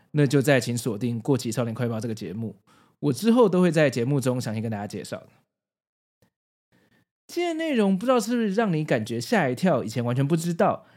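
Animated Taiwanese man with a lively pitch. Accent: Taiwanese Mandarin. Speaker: podcast host. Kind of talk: podcast narration with casual commentary.

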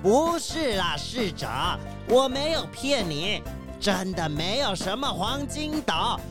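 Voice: mid-range voice, big pitch swings, slow pace, over music. An actor playing a flustered, evasive male ship captain in a children's radio drama, hesitant and protesting. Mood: pleading.

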